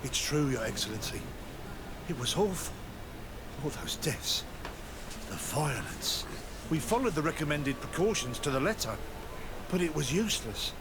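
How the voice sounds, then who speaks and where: A man's voice: on the high side, big pitch swings, moderate pace, noisy background. A man playing a shaken, deferential caravan survivor in a video game, recounting things in a grave, anguished way. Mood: distressed. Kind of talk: scripted video game dialogue.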